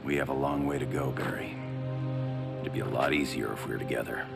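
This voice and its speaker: A man's voice: a bass voice, fairly even intonation, fairly quick, gravelly, heavily acted. An actor playing a repentant space-cop superhero in a video game cutscene, earnest and defensive, pleading his case. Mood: subdued. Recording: loud cinematic music behind.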